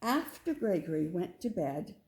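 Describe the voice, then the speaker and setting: A woman's voice: fairly low pitch, sing-song, normal speed, darkish tone. A woman reading a picture book aloud to children in a storytelling style.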